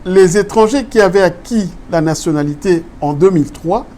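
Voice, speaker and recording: A man's voice: high-pitched, moderate pace, mellow. Casting: male political guest, lecturing tone, code-switching, faint noise behind. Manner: switches between French and Lingala, explains step by step, asks rhetorical questions. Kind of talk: explaining law in an interview.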